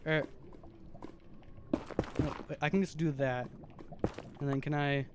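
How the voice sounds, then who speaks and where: A man with a very high voice giving casual, chatty live stream commentary as he plays a video game. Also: slow, background noise. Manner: chatty, self-narrating while playing, easily animated.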